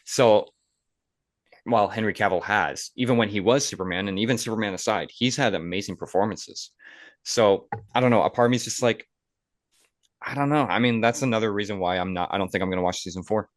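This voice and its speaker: A man's voice: lowish voice, brisk, dry recording, clean studio sound. A man hosting a podcast, chatting casually and giving his opinions in a conversational way. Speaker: podcast host.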